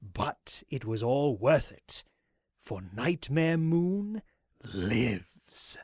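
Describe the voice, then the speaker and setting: A man's voice: medium pitch, very animated, drawn-out words, a little mellow, breathy edge, no echo, clean studio sound, full character voice. A man playing a zealous, courteous pony cult leader in an audio drama, speaking in a formal, grandiose way. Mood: reverent, exultant.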